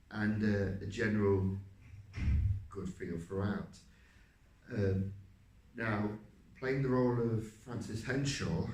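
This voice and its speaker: A man with a deep voice, a stage actor talking through a role, hesitant with many fillers. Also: a little mellow. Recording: roomy, from a distance.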